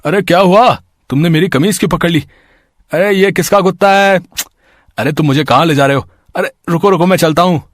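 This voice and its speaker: A man plays a kind young city passerby in a cartoon moral tale. His voice is husky and close, with a dry sound and a helpful manner. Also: on the high side, fairly quick, no echo, close to an everyday voice. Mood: startled. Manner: exclaiming, helpful.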